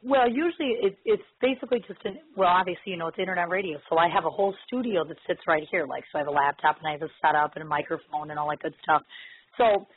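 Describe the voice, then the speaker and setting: A woman hosting a casual, chatty internet radio interview, her voice hoarse and husky from laryngitis. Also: fairly low pitch, fast talker. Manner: chatty, informal. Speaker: internet radio show host. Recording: muffled, lo-fi radio connection.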